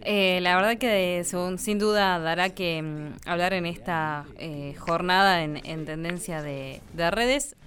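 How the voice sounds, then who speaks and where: A woman's voice: fairly low pitch, measured pace, few pauses, a bit bright. A woman with an Argentine accent hosting a radio segment, talking with frequent fillers and hesitations. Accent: Argentine Spanish. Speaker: radio host.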